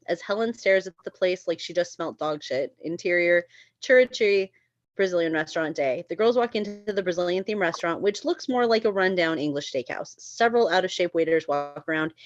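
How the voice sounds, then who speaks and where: A woman's voice: fairly low pitch, dry recording. Woman narrating a script, slightly dull audio. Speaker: narrator reading stage directions at a table read.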